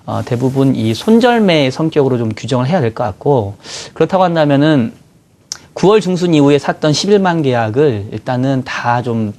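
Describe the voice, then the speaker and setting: A man with a cold-roughened voice, a bond strategy guest in a TV studio, explaining market moves in a measured way with many fillers. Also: medium pitch, expressive, a little mellow. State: hoarse from a cold. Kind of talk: financial market analysis.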